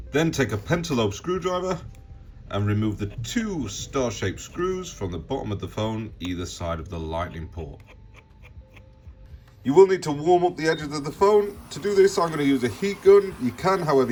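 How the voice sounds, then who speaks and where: A man hosting a repair tutorial, narrating step by step in a plain, practical, informal way. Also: mid-range voice.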